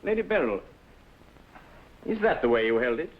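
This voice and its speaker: A man with a British accent playing an assured, analytical gentleman detective in a precise, authoritative radio-drama manner. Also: mid-range voice, very animated, normal speed. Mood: probing. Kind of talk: vintage radio-style drama acting. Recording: dull vintage radio recording.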